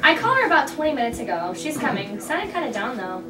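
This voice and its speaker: A woman playing sardonic, high-voiced young woman, distant. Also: very animated, quick pace. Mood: matter-of-fact. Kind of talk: comedy script table read. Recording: roomy, far from mic.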